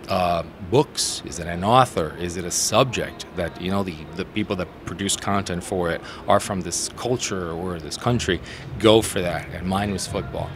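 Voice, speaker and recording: A middle-aged man from southern Brazil, speaking English as a second language, talks low and loose with lots of restarts. He sits on an outdoor rooftop talking into a handheld mic. Faint city traffic hums in the background. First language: Portuguese. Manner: animated, rambling storyteller with many restarts. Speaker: interpreter guest. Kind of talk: casual interview talk. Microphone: handheld mic held by interviewer.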